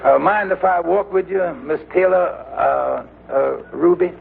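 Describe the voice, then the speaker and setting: A man playing an earnest, good-natured, worrying young fellow in an old radio comedy, hesitant and folksy, with a creaky voice. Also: sits high, a little slow, heavily acted. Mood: shy. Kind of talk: old-time radio sitcom acting. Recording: narrow-band vintage radio recording, faint hiss.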